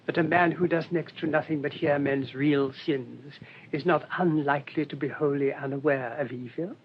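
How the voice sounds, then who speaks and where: An actor playing a mild, shrewd English priest in a radio drama, speaking in a gentle, rambling, politely hesitant way. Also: male voice, lightly acted. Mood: gently reproving. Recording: dull lo-fi radio recording, rumbling noise.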